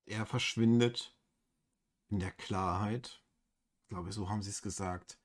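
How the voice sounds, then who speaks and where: A man with a German accent giving casual game commentary as a let's play host, in a husky voice. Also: lowish voice, steady tone, unhurried.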